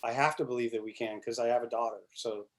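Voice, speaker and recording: A man's voice: mid-range voice, steady tone, quick pace. A man in his forties chatting casually on a video call, rambling with frequent restarts and fillers and casual swearing. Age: 40s. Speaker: congressional candidate guest. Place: small indoor room, plain wall. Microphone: video call webcam.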